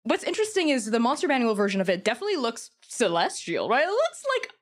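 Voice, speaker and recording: A woman's voice: a little high, very animated, quick pace. A woman guest on a podcast, explaining her opinions in casual chat peppered with "like" and "kind of".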